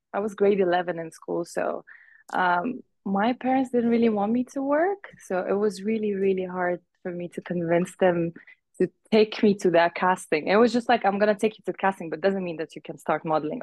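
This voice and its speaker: A woman's voice: medium pitch, fairly quick, a little mellow, no room sound. A young woman from Uzbekistan, a fashion model guest, telling stories in a casual interview and laughing often as she talks. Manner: chatty storyteller who laughs often and acts out quoted voices.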